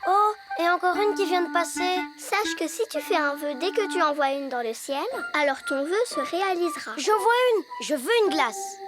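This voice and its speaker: An actor voicing an excitable, curious young animal child in a French children's cartoon, with expressive, exclamatory delivery. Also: extremely high pitch, moderate pace. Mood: dreamy. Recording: loud children's music behind. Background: music.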